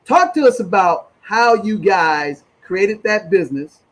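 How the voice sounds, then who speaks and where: A man's voice: high-pitched, big pitch swings, unhurried. A man hosting a conversational interview in an effusive way, peppering his talk with "man" and "brother". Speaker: interview host.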